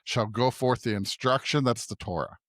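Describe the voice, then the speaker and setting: A man's voice: mid-range voice, moderate pace, a bit bright, no echo, clean studio sound. A man co-hosting a conversational podcast on scripture, thinking aloud reflectively and often checking in with a tag question. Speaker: podcast co-host. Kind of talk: conversational Bible discussion.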